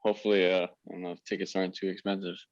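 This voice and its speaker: A man from the United States with a lowish voice, speaking hesitantly with many fillers. He comes through a muffled, lo-fi remote line. He is a guest in a casual chat. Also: little pitch movement, very fast. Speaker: podcast guest. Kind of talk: casual chat among fans.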